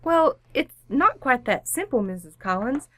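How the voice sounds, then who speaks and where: A woman's voice: high-pitched, sing-song, unhurried, fairly bright tone, no room sound, heavily acted. A woman playing a composed, firm suburban wife in an old-time radio comedy, polite with dry sarcasm. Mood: coolly amused.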